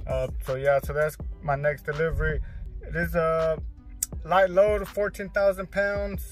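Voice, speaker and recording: A male trucker of Mexican background talks loosely to his camera about loads and deliveries, drifting between thoughts with plenty of "you know" and "like." His voice sits a touch high for a man. Faint music plays under the road and vehicle noise. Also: steady tone, unhurried. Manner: rambling, loose, full of fillers and restarts. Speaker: truck driver vlogger. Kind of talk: casual vlog chat.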